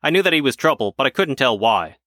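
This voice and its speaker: A man's voice: mid-range voice, big pitch swings, fast, fairly bright tone, dry recording, clean studio sound, pitched above the performer's own voice. A man voicing a cantankerous, insult-hurling pensioner in his seventies, telling his story with indignant boasting. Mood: boastful. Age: over 70.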